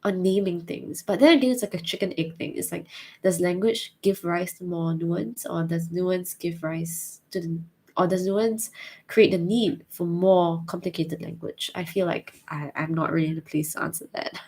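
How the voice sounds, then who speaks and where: Woman, twenties, Singaporean accent, lowish voice, vocal fry, hesitant, thoughtful casual chat. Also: steady tone, normal speed, darkish tone. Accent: Singaporean English. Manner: thoughtful, hesitant, many fillers. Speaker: vlogger answering viewer questions.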